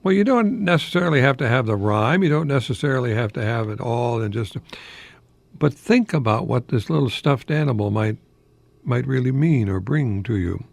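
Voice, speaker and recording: An older American man with a gravelly, fry-edged voice gives an informal radio talk. He sounds professorial yet chatty, putting questions to the listener. The sound is dry, with no room around the voice. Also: medium pitch, expressive, moderate pace, dry recording. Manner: professorial, chatty, rhetorical questions, chuckling asides. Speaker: radio host, retired college professor.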